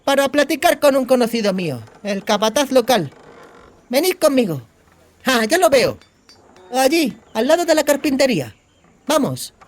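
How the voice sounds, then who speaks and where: A man playing a cheeky, high-voiced young bandit woman. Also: very animated. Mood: brisk, bossy. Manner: sardonic, colloquial, explaining briskly. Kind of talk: audiobook character dialogue.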